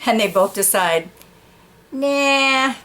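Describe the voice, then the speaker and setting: A woman's voice: lowish voice, animated, normal speed, fairly bright tone, slight background noise, poor audio. A woman reading a picture book to children, narrating informally with explanatory asides. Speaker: storytime reader.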